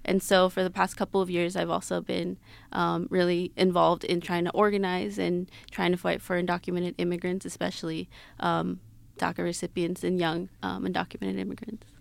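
A woman's voice: on the low side, little pitch movement, normal speed, creaky, no room sound. A woman in her twenties with an American accent, telling her personal story in an interview in a reflective, explanatory manner. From Mexico-born, grew up in Utah, United States. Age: 20s. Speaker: interview guest.

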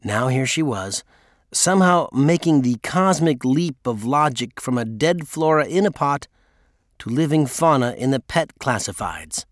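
A man's voice: medium pitch, expressive, a little slow, no room sound. Male audiobook narrator, dry sound. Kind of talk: scripted memoir narration.

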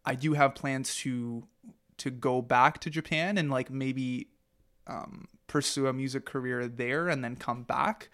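A man's voice: mid-range voice, steady tone, measured pace, a bit bright. A young man, a podcast guest, telling his life story in a loose, rambling way with many fillers. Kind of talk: casual interview.

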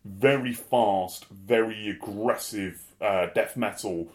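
Low-voiced man chatting about music. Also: slow. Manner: enthusiastic, rambling with fillers. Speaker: podcast co-host. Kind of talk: casual music discussion.

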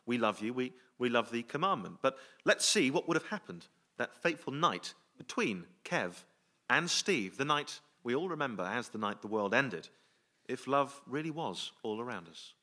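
A man's voice: medium pitch, sing-song, normal speed, sharp tone. A man hosting a comic interview on a theatre stage, speaking hesitantly and with mock deference. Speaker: comedy show host. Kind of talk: comic interview before an audience. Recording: live theatre, faint noise.

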